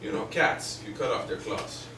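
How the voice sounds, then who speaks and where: A man's voice: normal speed, lightly acted. A man playing a taunting crude boyfriend. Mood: musing. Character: mocking, crude adult boyfriend. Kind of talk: stage drama acting. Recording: echoey room, distant mic, faint noise.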